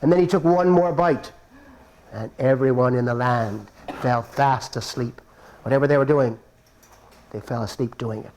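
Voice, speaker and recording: A man's voice: mid-range voice, moderate pace, pauses often, a little hiss or hum, poor audio. Playful man telling a folk tale. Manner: playful, animated, chuckling asides. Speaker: storyteller in a puppet show. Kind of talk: live folk-tale storytelling. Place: live performance before an audience.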